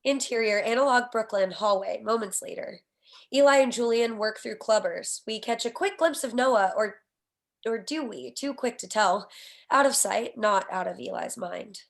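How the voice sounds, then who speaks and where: A woman's voice: fairly high pitch, moderate pace, creaky. A woman reading out the stage directions of a comedy screenplay as scripted narration.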